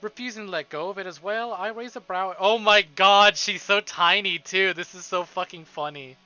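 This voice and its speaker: A man reading a visual novel aloud on a live stream, with casual, excitable, foul-mouthed reactions. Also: sits high, moderate pace, smooth, dull audio.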